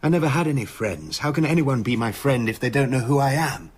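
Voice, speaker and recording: An actor playing a tormented, self-justifying doctor in a radio drama, speaking formally and intensely. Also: male voice, medium pitch, lively intonation, fairly quick, husky. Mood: impassioned.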